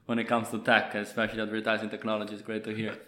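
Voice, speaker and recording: A man's voice: lowish voice, monotone, rapid-fire. A man from Italy, answering interview questions in an easygoing way. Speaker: guest general manager. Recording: echoey room with loud music.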